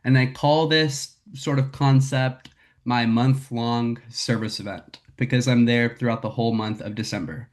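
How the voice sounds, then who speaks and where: A young man from Northern California, raspy from a cold, talking candidly to his team over a video call with lots of "um" and "like". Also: medium pitch, fairly even intonation, unhurried. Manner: candid, filler-heavy, says "like" and "um" a lot. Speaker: sales rep presenting to a team. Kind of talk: casual talk on a video call.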